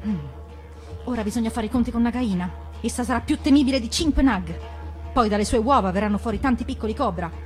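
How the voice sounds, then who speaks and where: Woman voicing a brisk, bossy mongoose heroine. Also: a little high, a little mellow, faint music behind, natural voice. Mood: calculating. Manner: brisk, commanding. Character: brave, bossy, determined female mongoose.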